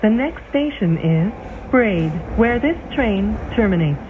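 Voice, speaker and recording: A woman reading scripted transit announcements in a measured, formal, clearly enunciated way. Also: medium pitch, expressive. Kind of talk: scripted train station announcements. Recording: tinny band-limited train speaker, loud vehicle noise.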